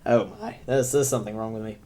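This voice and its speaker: A man's voice: on the low side, fairly even intonation, fast talker, mellow. A young man who is sick, talking in a rambling, self-deprecating way with many fillers as he comments on a video game. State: sick, deep voice. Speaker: gaming commentator. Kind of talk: let's play commentary.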